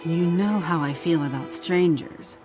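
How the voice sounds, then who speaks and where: A slightly low older woman's voice over faint music as an actress plays her. She is a guarded scientist and mentor in a game cutscene, choosing formal, precise words with care. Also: a little mellow, natural voice. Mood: guarded warmth. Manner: measured, formal, precise wording. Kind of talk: video game cutscene acting.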